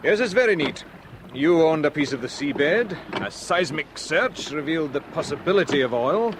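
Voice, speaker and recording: A man's voice: fairly high pitch, animated, moderate pace, lightly acted. An actor playing a wary man caught up in a swindle, speaking in a dry, guarded way. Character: wary Scottish male expert in on a swindle. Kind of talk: 1970s radio drama acting. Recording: radio play with water noise.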